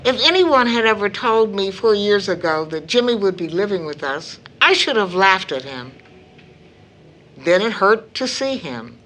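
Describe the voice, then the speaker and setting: A woman's voice: medium pitch, expressive, a little slow, a bit bright, a little hiss or hum. A woman playing a kindly, fussing older mother with a homely, affectionate way of talking in an audio drama. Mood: sheepish, reflective. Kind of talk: stage play audio drama acting.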